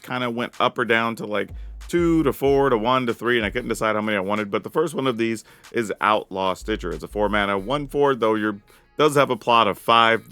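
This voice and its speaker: A man with a raspy voice giving an upbeat, casual rundown of his card game deck as a channel host. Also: lowish voice, pushed and bright, no room sound. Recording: dry close mic with faint music.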